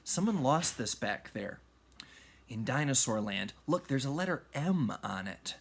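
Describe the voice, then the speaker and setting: A man acts out an earnest young boy adventurer from a storybook. The delivery is emphatic and full of puzzled wonder, with pitch that jumps and swings widely. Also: big pitch swings, measured pace, creaky, heavily acted. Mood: excited.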